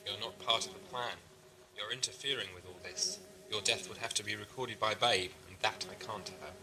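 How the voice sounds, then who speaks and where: A man's voice: fairly low pitch, fairly even intonation, moderate pace, crowd noise, close to an everyday voice, low-quality recording. A man playing an authoritarian, scheming prison ship commander in a science fiction audio drama, stern, clipped and formal. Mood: cold, threatening.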